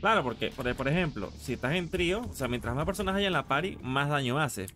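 A man's voice: a little high, animated, a little fast, fairly bright tone, soft background music. A man with a Latin American Spanish accent chatting casually on a live gaming stream, informal and slangy, with some casual swearing. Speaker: game streamer.

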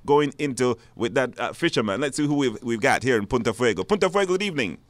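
A man hosting a live radio phone-in, speaking haltingly and repeating words. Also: medium pitch, animated, no room sound. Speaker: radio talk show host. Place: radio studio.

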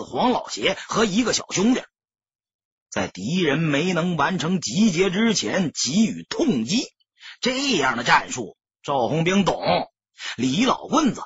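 Husky, raspy male storyteller. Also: on the high side, no room sound, dull audio. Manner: emphatic, theatrical delivery with drawn-out phrases. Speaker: audiobook narrator. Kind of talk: dramatic scripted storytelling narration.

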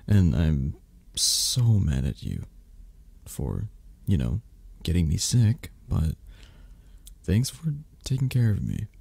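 A man playing a flirty, possessive but tender mafia boss in an intimate romantic ASMR roleplay, murmuring slowly and hesitantly in a tired, husky voice. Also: very animated, dark tone, dry recording, heavily acted. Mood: tender, teasing. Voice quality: low, husky, gravelly. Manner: slow, hesitant, murmured endearments with pauses. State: tired, drowsy. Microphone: close microphone.

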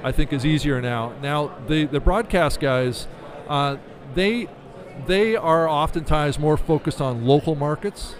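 A man's voice: fairly high pitch, measured pace. A middle-aged man giving conversational interview answers in an explanatory way, often checking with "right?". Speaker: interview guest, podcast business manager. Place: convention expo booth, indoors. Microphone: studio microphone on a desk stand.

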